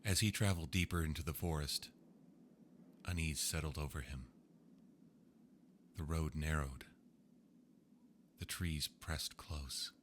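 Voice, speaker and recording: A man reading old ghost stories aloud in a slow, hushed storytelling manner. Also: extremely low pitch, fairly even intonation, slight background noise. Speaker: narrator. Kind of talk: scripted ghost story narration.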